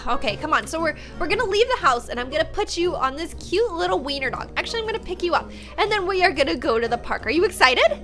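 A woman in her twenties hosting a gaming video, narrating a roleplay in an excitable, exaggerated way on a close mic. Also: very high voice, quick pace, few pauses, faint music behind. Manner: expressive, exaggerated. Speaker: gaming YouTuber, host. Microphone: close studio mic on boom arm.

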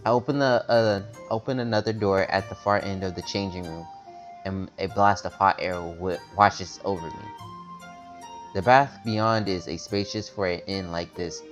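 A man casually reading a story game's text aloud and chatting, stumbling over longer words, with soft game music behind him. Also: low voice, fairly even intonation, moderate pace. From America. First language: not English. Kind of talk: casual let's-play reading and chat.

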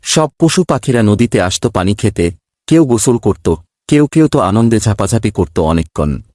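A man's voice: on the low side, sing-song, normal speed, darkish tone, dry recording, clean studio sound. A man playing a kindly schoolteacher in a children's cartoon, patient and instructive as he speaks. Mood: storytelling. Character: kindly male schoolteacher telling pupils an animal fable.

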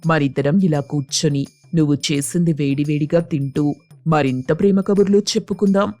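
A woman's voice: deep voice, moderate pace, warm tone, faint music behind, natural voice. A woman voicing a coaxing, complaining husband crow in a children's cartoon story. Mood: mildly reproachful. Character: affectionate, nagging husband crow craving hot fritters.

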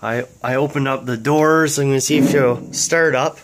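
A man in his thirties with a hoarse voice from a cold, chatting casually with many fillers while filming in a garage and a yard. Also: mid-range voice, fairly even intonation. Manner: halting, many fillers and restarts. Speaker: vlogger. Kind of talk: casual chat. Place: garage, then outdoors in a yard. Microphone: handheld action camera. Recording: loud engine noise. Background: idling van engine.

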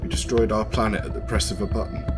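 A man's voice: fairly low pitch, fairly even intonation, fast talker, music in the background, close to an everyday voice. A man acting in a radio drama as an ordinary, uneasy husband, earnest and questioning. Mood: shocked, questioning.

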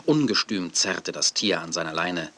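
Deep, bright-edged male story narrator. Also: animated, normal speed. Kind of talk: scripted children's story narration.

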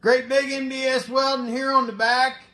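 A man, hosting a welding vlog in a relaxed, folksy way. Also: very high-pitched, normal speed. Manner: folksy, relaxed storytelling with fillers. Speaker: welding channel host. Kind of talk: casual vlog talk to camera. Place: in a truck cab, then a kitchen break room.

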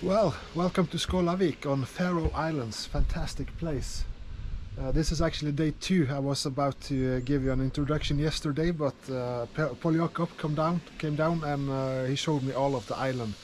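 A middle-aged man talking casually to his camera on a sailboat deck, relaxed and chatty with many fillers. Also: a little high, normal speed. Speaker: solo sailor filming himself. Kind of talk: casual vlog talk to camera. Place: on a sailboat deck outdoors at sea. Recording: loud wind and water noise.

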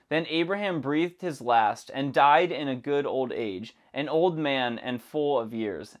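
A young man presenting a scripted Bible lesson in a measured, even reading voice, recorded on a lapel mic in a dark studio. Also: medium pitch. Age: young adult. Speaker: Bible teacher. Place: dark studio with plants behind.

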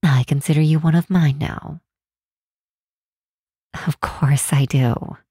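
A woman voicing a kind, protective demon queen in an ASMR comfort roleplay, speaking gently and reassuringly in a measured way, close to the microphone. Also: sits low, fairly even intonation, slightly rough, no room sound, exaggerated voice. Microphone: close, dry microphone.

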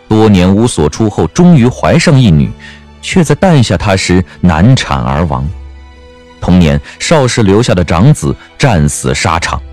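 A man narrating a scripted audio drama as an expressive storyteller. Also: lowish voice.

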